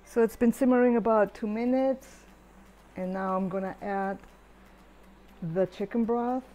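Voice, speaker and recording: A middle-aged woman calmly talking through her cooking steps in a chatty home tutorial voice-over. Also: a little high, a little slow, soft background music. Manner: relaxed, chatty step-by-step explaining. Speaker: home cook.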